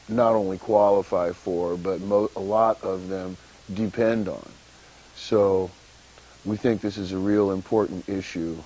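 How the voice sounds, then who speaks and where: Low-voiced guy, really slow, lots of uhs, laid-back activist talk. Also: dark tone, low-quality recording. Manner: slow, halting, many uhs and ums. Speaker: activist talk show guest or co-host. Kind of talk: casual activist talk show.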